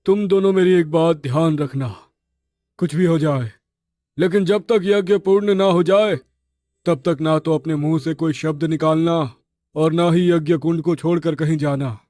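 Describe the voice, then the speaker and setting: A solemn tantric holy man, acted by a man for an animated horror story. His voice sits a little high yet stays soft and dark, recorded very dry. He instructs gravely and formally, like a protector giving warnings. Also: measured pace, dry recording, lightly acted. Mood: grave, instructing.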